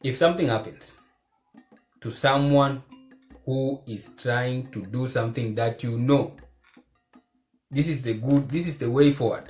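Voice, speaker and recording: A man giving earnest, preachy commentary to the camera, keeping his voice low because he is recording at home at night. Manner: earnest and preachy, repeats phrases for emphasis. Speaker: vlogger.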